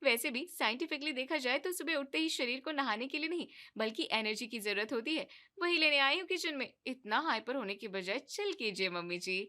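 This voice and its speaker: A woman's voice: sits high, lively intonation, a little fast, few pauses, bright, forceful tone. A woman voicing a carefree, cheeky young daughter-in-law in a cartoon story, talking breezily and mixing in English words.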